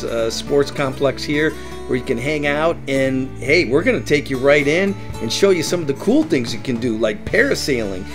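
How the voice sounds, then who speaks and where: A middle-aged man hosting a travel vlog, giving upbeat tips and often saying "that's right". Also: medium pitch. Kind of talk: enthusiastic travel guide narration.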